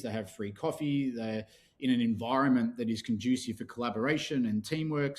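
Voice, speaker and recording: Guy on a podcast explaining his company, calm and matter of fact, normal pitch. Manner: explanatory, steady, practical. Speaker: podcast guest, business founder. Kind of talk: interview conversation.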